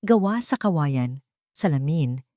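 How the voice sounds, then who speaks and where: A woman's voice: deep voice, expressive, slow, mellow, no room sound, clean studio sound. A woman reading a scripted dramatic story as its narrator.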